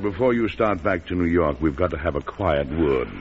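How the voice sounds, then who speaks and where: An actor playing a patient, measured president and protective brother in an old-time radio drama, his voice husky. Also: sits low, expressive, over loud music. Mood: gentle, uneasy. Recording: muffled vintage radio recording.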